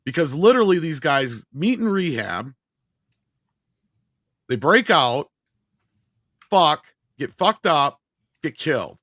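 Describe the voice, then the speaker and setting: Animated, blunt man on a panel. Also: fairly high pitch, takes it slowly, pauses often, no room sound, clean studio sound. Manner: blunt, swearing, emphatic. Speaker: film review panelist. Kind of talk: casual panel discussion.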